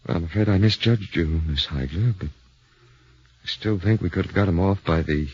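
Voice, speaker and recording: An actor playing an earnest, flustered defense lawyer who speaks hesitantly, in a 1940s radio drama. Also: male voice, low voice, normal speed, darkish tone, slightly rough. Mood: shocked. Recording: dull lo-fi 1940s radio broadcast.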